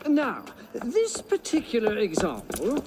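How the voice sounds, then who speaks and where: An actor playing a fussy, flustered professor in a video game, speaking hesitantly as if lecturing. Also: male voice, extremely high pitch, huge pitch swings, slow, exaggerated voice. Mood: nervous, apologetic. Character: fussy, flustered male professor of balloon flight. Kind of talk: video game cutscene acting. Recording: clearly noisy outdoor ambience.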